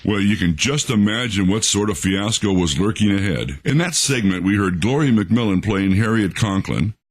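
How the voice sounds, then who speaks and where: A man's voice: fairly low pitch, animated, normal speed, slight background noise. A man hosting with scripted, theatrical radio-show banter and narration. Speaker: host.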